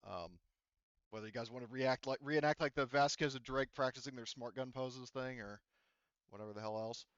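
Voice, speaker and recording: A man's voice: mid-range voice, fairly quick, fairly bright tone, clean tone, no room sound, lo-fi sound. A man working as a game master, narrating and explaining a tabletop roleplaying game in a rambling, informal way.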